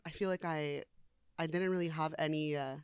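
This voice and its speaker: A young woman singer chats through an interview in a smooth, resonant voice, peppering her talk with "like" and laughing easily. The sound is close and dry, with no room around it. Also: sits low, steady tone, moderate pace, no room sound. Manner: chatty, frequent "like" fillers, laughs easily. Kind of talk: casual interview chat.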